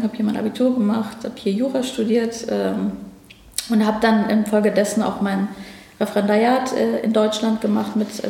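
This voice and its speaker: A woman's voice: a little high, vocal fry, mellow, roomy sound. A woman from Afghanistan, a ministry division head, answering interview questions thoughtfully with frequent hesitations. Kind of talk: conversational interview. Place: office at a federal ministry.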